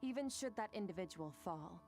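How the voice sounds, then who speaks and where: A woman playing a cool, aloof and enigmatic figure in an anime game, speaking in a measured, detached way. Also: on the low side, expressive, a little mellow, faint music behind. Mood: grave, cryptic.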